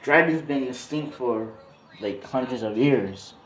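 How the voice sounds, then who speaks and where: Man, mid-pitched voice, casual improvised storytelling, slangy, rambling, echoey room, music in the background. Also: measured pace, fairly bright tone. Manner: slangy, rambling with frequent tangents. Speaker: video host. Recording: roomy echo, music behind voice. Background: music beat.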